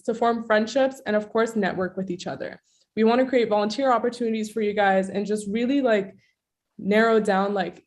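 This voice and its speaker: A young woman from Toronto opens an online student webinar, talking over a video call. Her voice sits at an ordinary middle pitch, and she delivers a friendly, semi-scripted welcome sprinkled with "like" and "um". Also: a little mellow. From Toronto, Canada. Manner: friendly, scripted presenter with many "like" fillers. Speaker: webinar host, student club vice president.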